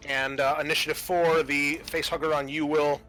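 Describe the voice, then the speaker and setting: Bright-voiced man running a tabletop game. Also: fairly high pitch, level tone, soft background music, rough recording. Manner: halting, colloquial, swearing. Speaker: game master. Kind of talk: improvised tabletop game narration.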